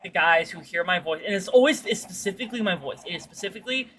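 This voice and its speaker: A woman's voice: quick pace, noisy background. A woman chatting casually as a streamer, telling stories in a slangy, sweary way and acting out what people said. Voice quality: fairly deep-sounding for a woman. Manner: slangy, sweary, animated retelling with acted-out quotes. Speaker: streamer chatting with viewers while gaming. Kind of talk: casual chat, rambling storytelling.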